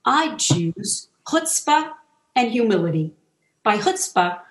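A woman reading a passage aloud in a slow, deliberate way. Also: mid-range voice, echoey room. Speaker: reader.

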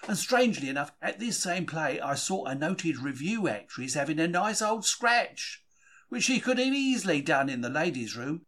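A man's voice: on the high side, very animated, normal speed, a bit bright. A man reading a first-person diary from a script in a conversational, confiding storytelling manner. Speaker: audiobook-style reader of a diary.

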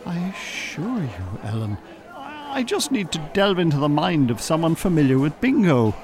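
Flustered, formal Irish gentleman, lively pitch. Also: moderate pace. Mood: probing, earnest. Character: smooth male con-artist posing as a stiff scientist. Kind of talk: radio drama acting.

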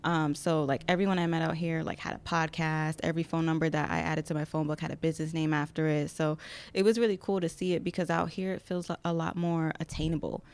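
A young woman from Connecticut, a podcast guest chatting casually, relaxed and laughing often. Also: deep voice, quick pace, no echo. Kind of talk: casual interview chat.

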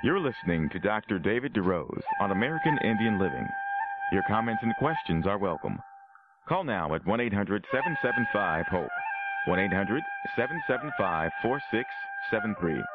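Low male announcer over loud music. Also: big pitch swings, moderate pace. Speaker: show announcer. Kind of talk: station break announcement. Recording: phone-like sound under loud music.

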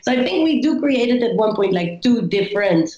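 A woman with a Dutch accent, a Dutch speaker, talking as a guest about her tour company in a thoughtful interview style, often filling pauses with "like.". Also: a little high, animated, darkish tone. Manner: thoughtful, frequent "like" fillers. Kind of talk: conversational interview. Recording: echoey room, dull lo-fi sound.

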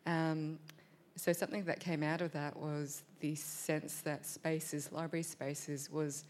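Measured low-voiced woman. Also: level tone, a little mellow. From Australia. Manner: thoughtful, measured, with frequent ums. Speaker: panel speaker, librarian. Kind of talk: panel discussion.

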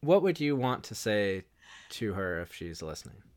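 A man hosts a casual interview, his voice fairly low and smooth. He asks questions loosely, trailing off with fillers as he thinks. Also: moderate pace. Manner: relaxed, hesitant, with "uh" and "like" fillers. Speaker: podcast host.

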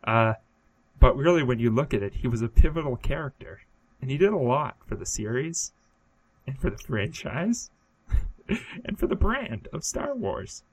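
A man co-hosting a podcast in casual comedic chat, delivering absurd jokes in a dry, deadpan way. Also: medium pitch, normal speed, husky. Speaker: podcast co-host.

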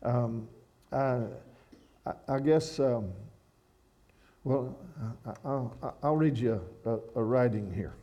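A man from Kentucky with a Kentucky accent, speaking at a church with halting restarts and reading a poem in a steady rhythm. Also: medium pitch, highs cut off. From Kentucky, USA. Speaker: guest speaker. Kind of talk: sermon with a read-out poem.

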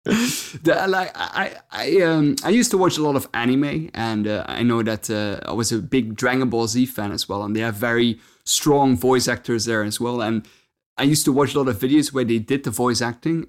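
A man's voice: mid-range voice, normal speed. A male co-host asking questions hesitantly, with many fillers and restarts. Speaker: podcast co-host. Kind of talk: casual interview chat.

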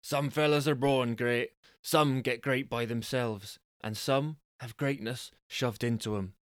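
A man's voice: mid-range voice, fairly even intonation, measured pace, no echo, studio quality, natural voice. A man playing an earnest, deluded would-be superhero boyfriend who makes solemn, self-important declarations.

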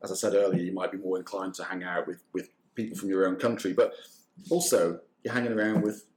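A man hosting a casual group chat, eager and quick, repeating words as he goes. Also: sits low, steady tone, a little mellow. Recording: echoey room.

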